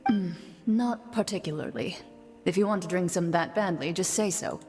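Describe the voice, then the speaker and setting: A woman's voice: fairly low pitch, moderate pace, dark tone, natural voice. Actress as a cool, composed woman over music. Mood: cool, matter-of-fact. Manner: cool, understated, businesslike. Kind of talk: video game voice acting. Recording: game music behind the voice.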